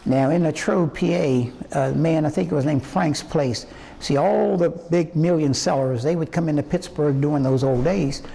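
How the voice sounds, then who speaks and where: A man in his eighties from West Virginia, a singer telling his life story in an interview in a halting, rambling way full of fillers and restarts. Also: a little high, vocal fry, warm tone, poor audio. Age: 80s. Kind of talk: reminiscing interview answers.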